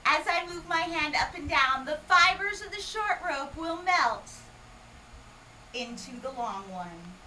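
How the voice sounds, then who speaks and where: High, lively woman magician doing playful patter. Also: unhurried. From Hawaii. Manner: playful teasing, quizzes the audience. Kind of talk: comic magic patter for a live audience. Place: parlour room before a small audience. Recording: distant mic with steady background noise.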